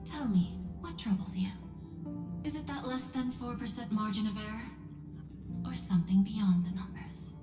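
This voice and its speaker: A woman playing a poised, enigmatic female executive in a video game, elegant, deliberate and knowingly probing. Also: mid-range voice, lightly acted. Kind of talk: scripted video game voice acting. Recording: echoey room, music behind.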